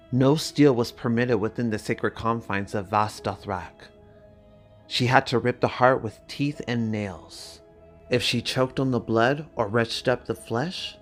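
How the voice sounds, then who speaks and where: Slow, gravelly male storyteller. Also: medium pitch. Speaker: narrator. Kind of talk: dramatic storybook narration.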